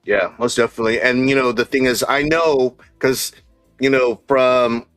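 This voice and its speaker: A man hosting a casual live stream talks in a friendly, loose way at an everyday pitch. The sound is dry and close-miked, with faint music behind him. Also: steady tone, normal speed, a bit bright, dry recording. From San Diego area. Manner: friendly, loose, chatty with fillers.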